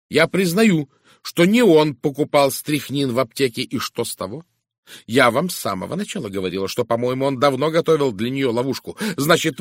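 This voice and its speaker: A man voicing a brusque, impatient, outspoken woman in an audiobook, with a curt, sharp and emphatic delivery. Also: medium pitch, no echo, clean studio sound, voice pushed up, close to an everyday voice. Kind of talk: dramatised audiobook dialogue.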